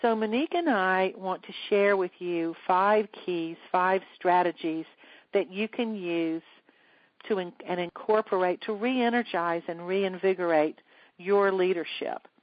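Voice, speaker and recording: A woman coach hosting a teleseminar, speaking in a measured, earnest, scripted way. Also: lowish voice, dry recording, dull audio. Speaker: leadership coach and seminar host. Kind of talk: teleseminar presentation.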